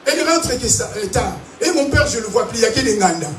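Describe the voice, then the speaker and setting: A man from Africa preaching a sermon to a congregation, animated and calling out to them. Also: very high voice, quick pace, music in the background. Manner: animated preaching with call-and-response. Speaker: pastor. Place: large church hall. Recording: echoey hall, distant mic, noisy.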